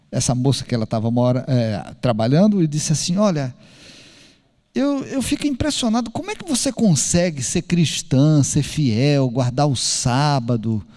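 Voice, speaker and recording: A man preaching a sermon, telling a story in an animated way and acting out the dialogue. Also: high-pitched. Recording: long hall reverb.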